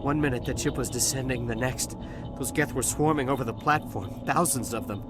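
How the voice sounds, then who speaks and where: An actor playing a shaken, cowardly dockworker in a video game, speaking haltingly and nervously. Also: male voice, mid-range voice, lightly acted. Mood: subdued, haunted. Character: shaken, sheepish, cowardly male dockworker survivor. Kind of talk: dramatic game dialogue. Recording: game soundtrack music behind voice.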